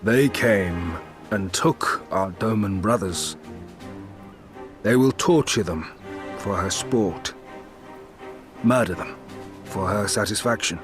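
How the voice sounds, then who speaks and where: Game role: weary, solemn male confederacy leader, very slow deliberate delivery, music behind. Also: fairly low pitch. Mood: grim, bitter. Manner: solemn, deliberate, weighty pauses. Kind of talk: video game voice acting. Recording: background music.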